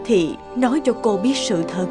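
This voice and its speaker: A sympathetic woman doctor in a cartoon drama acted by a woman. She speaks earnestly and with composure, over clearly audible music. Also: medium pitch, expressive, normal speed, close to an everyday voice. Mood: gentle, solemn.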